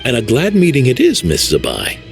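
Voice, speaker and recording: A man's voice: medium pitch, huge pitch swings, a little fast, creaky. A man playing a hearty, effusive old village grandfather in a video game, devout and theatrical. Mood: friendly, hearty. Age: older. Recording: music behind the voice.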